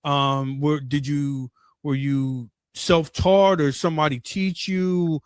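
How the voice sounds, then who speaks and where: A man with a notably high speaking voice hosts a casual interview. He speaks excitedly, tripping over his words and repeating himself. The sound is close and dry. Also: slow pace, clear, resonant tone, no room sound. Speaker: interview host.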